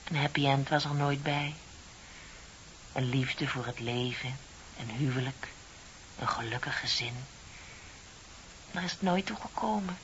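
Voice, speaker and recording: An actress playing a bitter, lonely middle-aged office worker in a radio play, confiding and sardonic with dramatic emphasis. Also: female voice, rumbling low, a little slow, pauses often. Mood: wistful, resigned. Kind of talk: Dutch radio play acting. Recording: dull, hissy old radio recording.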